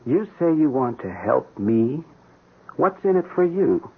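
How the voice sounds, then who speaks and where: An actor playing a wary, suspicious police sergeant on the run in a radio drama, halting and skeptical. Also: male voice, on the high side, big pitch swings. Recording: very noisy narrow-band old radio recording.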